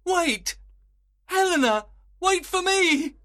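A man's voice: a little high, sing-song, moderate pace, no echo, clean studio sound, voice pushed up. A man voicing an earnest, romantic young male lover in a storybook, with dramatic delivery. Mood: lovestruck, urgent. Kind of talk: storybook character voice.

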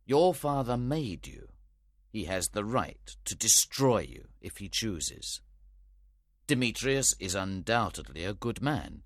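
A man's voice: medium pitch, big pitch swings, measured pace, fairly bright tone, no echo, clean studio sound, voice pushed up. A man doing a commanding, stern duke. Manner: authoritative, stern.

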